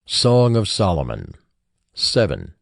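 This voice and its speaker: A man's voice: deep voice, wildly swooping pitch, slow, creaky, dry recording, studio quality. A man giving formal announcements as a scripture audiobook announcer.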